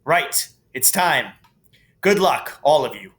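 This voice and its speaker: A man's voice: a little high, lively intonation, takes it slowly, fairly bright tone. Man as emphatic, bossy teenage team captain. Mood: determined. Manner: rousing, emphatic pep talk.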